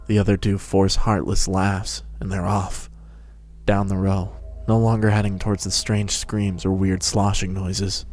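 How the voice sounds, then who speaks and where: A man's voice: sits low, flat delivery, a little slow, a little mellow. A man narrating a fan-fiction story as a scripted dramatic reading.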